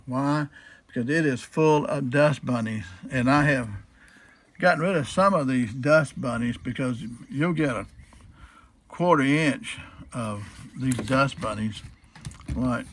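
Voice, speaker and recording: A man's voice: mid-range voice, a little hiss or hum. A man talking casually and unscripted through a hands-on demonstration, folksy and repetitive, posing questions and answering them himself. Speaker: hobbyist demonstrating old radio restoration.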